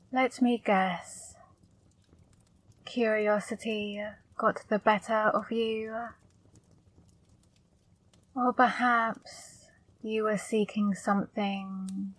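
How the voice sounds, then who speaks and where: A woman playing a flirty, teasing female demon in an intimate ASMR roleplay, speaking softly and breathily, coaxing and gently scolding up close. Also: mid-range voice, no echo. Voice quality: soft, breathy, partly whispered. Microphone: close microphone, dry.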